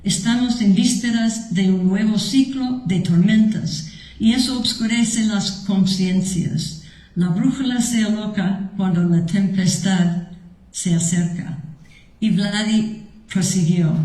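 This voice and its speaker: A woman at a conference slowly reading a prepared tribute aloud, with a Mexican Spanish accent and the odd slip. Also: medium pitch, dark tone. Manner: slow, measured reading with some slips. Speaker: conference speaker. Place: lecture hall. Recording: echoey hall.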